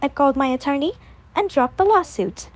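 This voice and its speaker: A woman voicing a brash, dismissive young woman who talks fast and flippantly. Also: high voice, lively intonation, pitched above the performer's own voice. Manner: sharp, flippant, quick.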